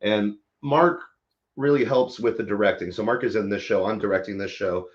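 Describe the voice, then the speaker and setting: A man's voice: lowish voice, steady tone, moderate pace, muffled recording. A man speaking casually as an interview guest, with loose, informal phrasing and a jokey touch. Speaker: interview guest, theater director. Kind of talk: casual live interview talk.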